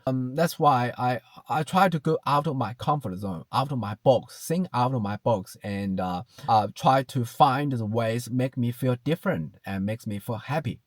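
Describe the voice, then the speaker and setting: A man's voice: mid-range voice, lively intonation, moderate pace, hardly any pauses, no room sound. Hesitant man in his thirties, from China. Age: 30s. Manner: hesitant, many fillers, reflective. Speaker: vlogger. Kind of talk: casual self-talk ramble. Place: car.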